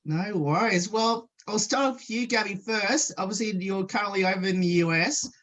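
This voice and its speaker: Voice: mid-range voice, normal speed, a bit bright. A radio sports show host who rambles through questions, leaning again and again on "of course" and "obviously". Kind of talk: radio interview hosting.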